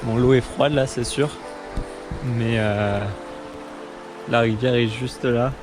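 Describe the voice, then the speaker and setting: A man's voice: medium pitch, fairly even intonation, slow. A young man who is sick with a cold and a sore throat, chatting tiredly to his viewers while touring outdoors by bike. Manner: chatty, tired, says "les gars" to viewers. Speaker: touring cyclist vlogger. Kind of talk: casual vlog talk to camera. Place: outdoors on the road. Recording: loud music over the talk. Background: loud background music.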